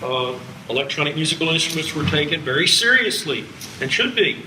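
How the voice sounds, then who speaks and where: Male American music lecturer, older, highish forceful voice, dry humor, recorded at a distance in a live room. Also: expressive, a little fast. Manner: wry, jokey asides. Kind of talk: public lecture with slides. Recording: echoey hall, distant, faint background noise.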